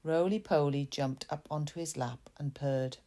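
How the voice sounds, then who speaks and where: A woman reading her own story aloud in a gentle, expressive, confiding manner, with chatty asides. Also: deep voice, moderate pace.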